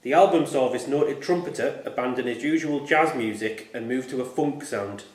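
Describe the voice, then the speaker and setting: A man's voice: mid-range voice, normal speed. A man acting as quizmaster, reading quiz questions aloud in an echoey club room.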